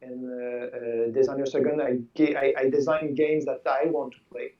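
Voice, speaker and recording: A man of sixty whose first language is French, a game designer chatting in a video call interview, halting and repeating words. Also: mid-range voice, flat delivery. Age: 60s. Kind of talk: casual interview chat. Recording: distant, dull lo-fi sound.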